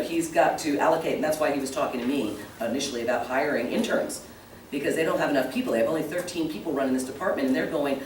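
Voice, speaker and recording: A man on a panel speaking briskly and emphatically, thinking aloud. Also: fairly high pitch, a little hiss or hum. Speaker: panelist on tourism. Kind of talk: panel discussion. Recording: echoey room, distant mic.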